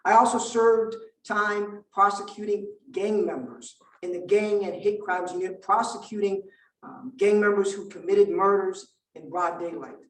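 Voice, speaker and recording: A middle-aged man from Vietnam, a native Vietnamese speaker, giving an emphatic campaign speech at a forum, building rhetorical lists with measured pauses. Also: high voice. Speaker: political candidate at a forum. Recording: roomy hall, distant mic.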